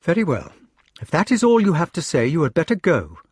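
Storybook noblewoman played by a man: raised pitch, husky tone, firm, plaintive, reproving, sharp-tempered. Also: big pitch swings, a little slow, no echo, studio quality, full character voice. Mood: angry, hurt. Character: sensible, sharp-tempered noblewoman scolding her lover, no longer young. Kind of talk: audiobook character dialogue.

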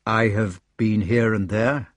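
A man's voice: fairly low pitch, steady tone, takes it slowly, no room sound. A man voicing a weary, stoical storybook knight who speaks in terse, understated phrases. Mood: subdued. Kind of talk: storybook character voice.

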